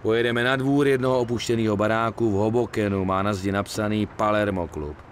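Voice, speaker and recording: A man's voice: lowish voice, a little hiss or hum, natural voice. A man voicing a weary, streetwise middle-aged gangster in a Czech video game dub. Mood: businesslike. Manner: colloquial, grumbling, swearing.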